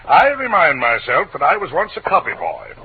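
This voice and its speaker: A self-important, brusque newspaper boss from 1940s radio. He declaims in a fairly high, very lively voice. The recording is narrow and tinny with a little noise. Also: normal speed. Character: brusque, pompous, moralizing male newspaper boss. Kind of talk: 1940s radio drama acting.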